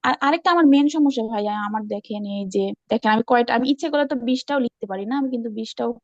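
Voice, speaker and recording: Casting: Bangladeshi woman, chatty online class participant, very quick, slightly high voice, dry muffled call audio. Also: no echo. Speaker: online class participant presenting her channel. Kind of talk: casual screen-share discussion.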